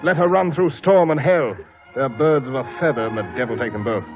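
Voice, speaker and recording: An actor playing a callous, hard-drinking master of the house in a radio drama, gruff and contemptuous. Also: male voice, medium pitch, lively intonation, brisk, natural voice. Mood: drunken contempt. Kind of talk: 1940s-50s radio drama acting. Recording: tinny old radio broadcast, music behind.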